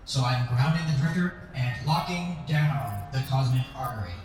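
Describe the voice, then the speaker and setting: An actor voicing a prim, cautious lab computer in an audio drama, speaking formally with dry, measured politeness. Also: medium pitch, natural voice. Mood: stern, dry. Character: prim, cautious artificial intelligence lab machine. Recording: very echoey, music behind. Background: music.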